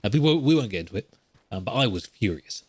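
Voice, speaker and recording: A man's voice: fairly low pitch, animated, fast talker, a little mellow, breathy edge, no room sound. A man hosting a podcast with casual solo gaming commentary, rambling and opinionated, repeating points for emphasis. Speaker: podcast host.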